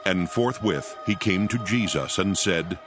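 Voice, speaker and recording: A man's voice: low voice, a little slow. A man narrating scripture from a script, in a solemn storytelling manner. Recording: background music under the voice.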